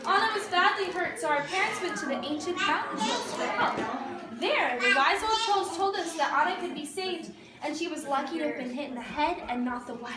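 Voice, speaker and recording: A woman's voice: very high voice, fairly quick. A woman playing a young snow queen with ice powers, telling her story to children and coaxing them with questions. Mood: storytelling, gentle. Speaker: costumed storyteller performer. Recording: distant, echoey room with audience chatter.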